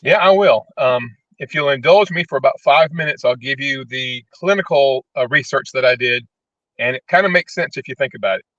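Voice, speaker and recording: A man's voice: mid-range voice, a little fast, fairly bright tone, no room sound. A man, a panel guest, explaining casually and plainly, often checking in with the listener. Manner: plain-spoken, checks in with "right?". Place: parked vehicle in a parking lot. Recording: dry, dull lo-fi call audio.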